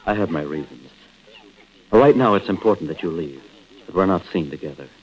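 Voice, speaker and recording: An American actor playing an evasive, nervous husband in a 1940s-style radio drama, hesitant and placating in manner. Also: male voice, sits low, animated, a little fast, breathy edge. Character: evasive, nervous husband caught cheating on his wife. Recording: muffled old radio drama recording.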